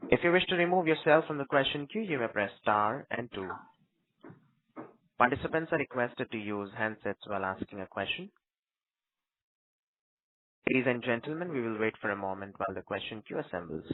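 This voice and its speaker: A man's voice: medium pitch, expressive, normal speed, dry recording. A man working as a conference operator, reading scripted announcements in a formal, procedural way. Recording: narrow tinny telephone line.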